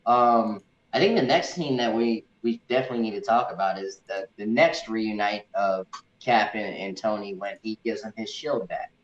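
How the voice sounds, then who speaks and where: A man in a casual movie review chat, talking as an enthusiastic fan and often repeating words haltingly. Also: fairly low pitch. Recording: echoey room, dull muffled audio.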